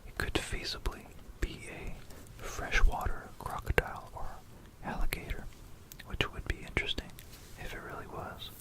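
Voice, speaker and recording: An ASMR creator speaking in a soft, unvoiced whisper, mixing reading aloud with informal, musing asides, close to a stereo microphone. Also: moderate pace, rough recording. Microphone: close stereo binaural microphone.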